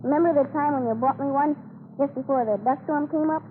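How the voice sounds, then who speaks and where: Woman playing an eager high-voiced young farm boy. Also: fast talker, close to an everyday voice. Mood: eager, curious. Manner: eager, questioning. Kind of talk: 1930s radio drama acting. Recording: noisy tinny old radio recording, humming.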